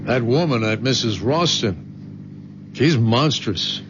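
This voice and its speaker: An actor playing a sardonic, impatient young husband in a radio drama, wry and brusque. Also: male voice, medium pitch, expressive, takes it slowly. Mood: wry, reasoning. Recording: muffled old radio recording, faint hiss.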